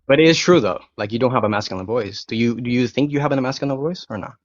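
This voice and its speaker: A man's voice: medium pitch, quick pace, no room sound. A young man whose first language is Spanish, arguing bluntly in a casual live-stream debate, rambling with many restarts and fillers. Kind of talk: casual argumentative chat. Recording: dry, dull lo-fi call audio.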